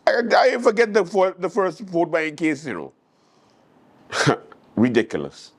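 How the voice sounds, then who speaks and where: A man's voice: a little high, huge pitch swings, slow pace. A man with a Caribbean accent hosting a late-night show, giving casual news commentary and acting out quotes in a storytelling way.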